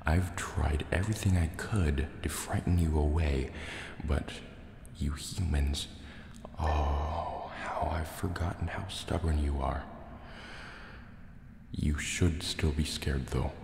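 A man voicing a huge, proud, wary sea serpent dragon in a soft-spoken, menacing ASMR roleplay, deliberate and a little hesitant. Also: rumbling low, steady tone, creaky, full character voice. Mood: reluctant, haughty. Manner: slow, deliberate, threatening yet hesitant. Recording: roomy cave reverb, faint water ambience. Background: water sounds and cave ambience.

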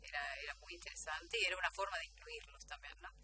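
Argentine woman, lively intonation, noisy recording. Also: on the low side, fast. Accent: Rioplatense Argentine Spanish. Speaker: guest, radio drama actress and announcer. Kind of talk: conversational radio interview. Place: small radio studio. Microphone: studio table microphone. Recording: noisy with audible hum.

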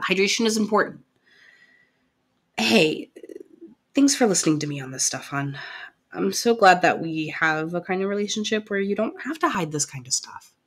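A woman playing a caring, gently bossy partner in an ASMR roleplay, coaxing and affectionate but firm with the listener. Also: mid-range voice, natural voice. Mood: tender.

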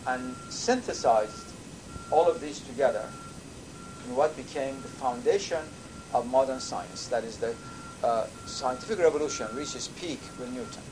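An older man, a native Persian speaker from Iran, giving a measured university lecture with thoughtful pauses. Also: mid-range voice. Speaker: professor. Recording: lecture recording with audible background noise.

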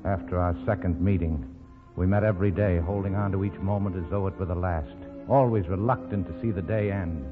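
A man's voice: sits low, fairly even intonation, brisk, lightly acted. An actor playing a doomed, tender, melancholy leading man in a 1940s radio romance. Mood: wistful. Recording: old phone-like radio recording, faint music.

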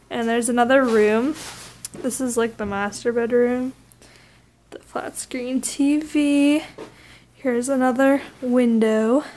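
A woman's voice: fairly high pitch, darkish tone. A young woman chatting casually to a vlog camera in an informal, hesitant way with trailing phrases. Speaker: vlogger. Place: indoors, bedroom and hotel suite.

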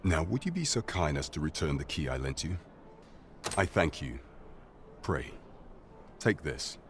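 A very low-voiced, grave captain of the guard counsels his sovereign in a fantasy game. He is courtly and measured, with loyal formality and archaic phrasing. Some noise sits behind the voice. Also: big pitch swings, full character voice. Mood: courteous. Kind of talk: scripted fantasy game acting in archaic English.

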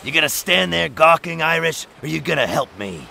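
A man playing a gruff, cocky, combative mutant brawler with a gravelly voice in an audio drama. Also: a little high, sing-song, brisk, exaggerated voice. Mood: impatient. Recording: noisy effects behind the voice.

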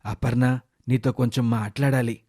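A caring middle-aged father in a cartoon moral tale, voiced by a man, with a mid-pitched voice. He speaks earnestly, reasoning with his wife. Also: fairly even intonation, moderate pace, mellow, breathy edge. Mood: pleading. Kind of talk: animated moral story character dialogue.

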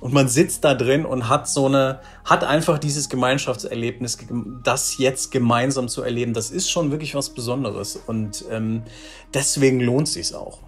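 A man's voice: mid-range voice, steady tone, moderate pace, faint music behind. A man in his forties talking casually and enthusiastically in an interview over a video call, often restarting his phrases. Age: 40s. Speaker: audio drama writer, interviewee. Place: small bright room with bookshelves, indoors.

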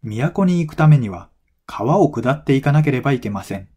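A man reading a folktale as a scripted narration for language learners, in measured, clearly phrased storytelling. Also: mid-range voice, expressive, warm tone.

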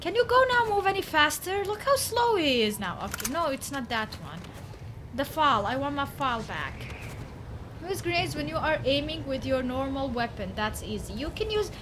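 Young woman gaming live on a headset, high voice swinging all over, kinda hoarse, yelling at the screen. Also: normal speed, noisy background. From Bosnia and Herzegovina. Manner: excitable, shouts and laughs at the action. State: voice partly lost. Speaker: streamer playing a game live. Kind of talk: live game commentary.

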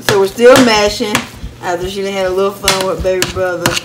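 A woman's voice: mid-range voice, steady tone, fairly quick, very noisy. A middle-aged woman, chatting homey to viewers as she cooks dinner in her home kitchen. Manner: chatty, homey, talks to viewers while cooking. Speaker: home cooking vlogger. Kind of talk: casual cook-along narration. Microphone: phone camera held while cooking. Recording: echoey kitchen with pot and dish clatter.

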